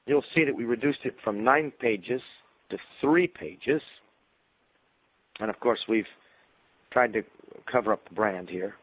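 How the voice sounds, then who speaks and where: A man presenting a marketing research webinar, explaining in a measured way while he is losing his voice. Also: on the low side, husky, dry recording. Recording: tinny phone-line audio.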